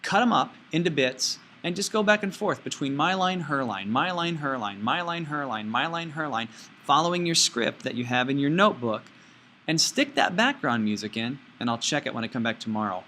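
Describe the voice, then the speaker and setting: A man teaching an informal step-by-step software tutorial, playfully talking himself through each action out loud. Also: mid-range voice, animated, normal speed, slight background noise.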